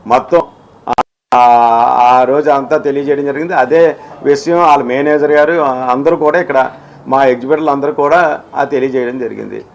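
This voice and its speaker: A man speaks off the cuff at a middle pitch, as if addressing the press. He argues his case emphatically, often halting and repeating phrases as he goes. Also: vocal fry. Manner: halting, repetitive, emphatic, argumentative. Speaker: film exhibitor speaking on industry dispute. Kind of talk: spoken statement to the press, unscripted.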